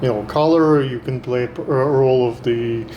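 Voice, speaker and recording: A man's voice: medium pitch, fairly even intonation, normal speed, dull audio. A man hosting a casual interview who asks long, rambling questions and often breaks off to restart them. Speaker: interviewer, host. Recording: echoey room with faint noise.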